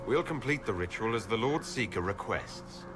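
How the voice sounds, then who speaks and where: An actor playing a composed, resolute heroic leader in a video game cutscene, measured and direct. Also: male voice, lowish voice, lively intonation, over music, natural voice.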